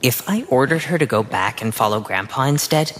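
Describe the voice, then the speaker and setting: Man as a bright-voiced, skeptical teenage boy. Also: mid-range voice, expressive, brisk, a little hiss or hum, close to an everyday voice. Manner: questioning, matter-of-fact. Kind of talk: audiobook character dialogue.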